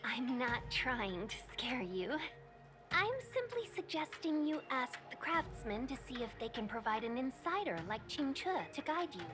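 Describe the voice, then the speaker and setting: A woman's voice: sits high, very animated, moderate pace. A woman voicing a courteous, cautious young guide in a video game, explaining things formally and politely. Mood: cautioning. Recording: background music throughout.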